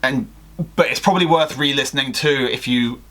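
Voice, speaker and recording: A young man chatting casually and reading stories aloud in an animated, jokey way. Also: medium pitch, a little fast. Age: young adult. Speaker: co-host of a fan fiction video. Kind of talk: casual chat and story read-aloud. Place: small indoor room at home.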